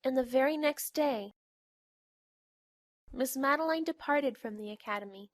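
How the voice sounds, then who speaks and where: A woman's voice: high-pitched, a little slow, no echo, studio quality. A woman playing a solemn narrator, telling a scripted fantasy story for a game fan dub.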